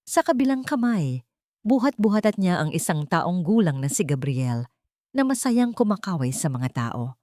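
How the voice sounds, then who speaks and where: A woman's voice: on the low side, a little mellow, no echo, clean studio sound. A woman narrator reading a scripted dramatic story.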